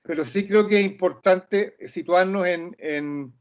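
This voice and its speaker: A man's voice: high voice, measured pace. A man from Chile giving an academic talk, often repeating words while gathering his thoughts. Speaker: speaker. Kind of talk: academic presentation. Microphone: video call. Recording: lo-fi video call audio.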